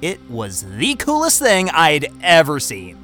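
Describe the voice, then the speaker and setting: An actor playing a cocky, talkative young job candidate who stammers and rambles in a naturalistic audio drama. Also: male voice, fairly high pitch, huge pitch swings, unhurried, pushed and bright, soft background music, heavily acted. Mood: wistful, awed. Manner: stammering, rambling, self-interrupting.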